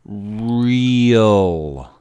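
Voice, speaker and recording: A man's voice: fairly low pitch, expressive, very slow, warm tone, clear, resonant tone, dry recording. A man co-hosting a casual podcast, blunt and profane, breaking into rants. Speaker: podcast co-host. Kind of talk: casual chat.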